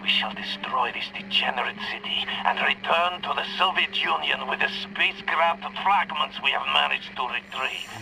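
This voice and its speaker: A man's voice: on the high side, normal speed, vocal fry, hardly any pauses, over music, poor audio. A man playing a cold, haughty Soviet agent villain in a video game, with a Russian accent, menacing and formal, over a radio. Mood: contemptuous. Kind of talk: video game cutscene acting.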